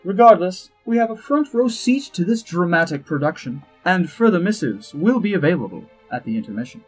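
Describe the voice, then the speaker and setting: A man playing a suave, haughty elf diplomat in a fantasy audio drama, with measured, arch, dryly witty delivery. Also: sits high, very animated, slightly rough, heavily acted, rough recording. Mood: measured, grave. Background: background music.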